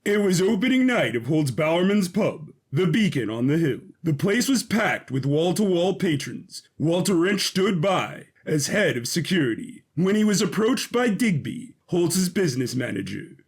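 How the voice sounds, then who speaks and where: A man's voice: fairly high pitch, big pitch swings, normal speed, hardly any pauses, breathy edge. A man narrating a scripted story dramatically as a storyteller.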